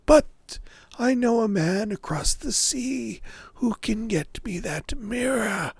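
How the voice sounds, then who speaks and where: Gravelly, slow merchant father. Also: sits high, expressive, no echo, voice pushed up, heavily acted. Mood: grandly assured. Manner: grand, measured, archaic speech. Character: proud, doting old merchant father in a fairy tale. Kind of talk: storybook character voice.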